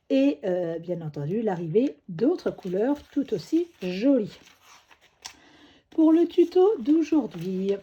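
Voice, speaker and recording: A woman's voice: on the high side, dark tone, dull audio, a little hiss or hum. A woman with a cold, coughing now and then, chatting her way through a crafting tutorial with little fillers as she works. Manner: chatty, fillers like "hop" and "euh" while working. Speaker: crafting demonstrator.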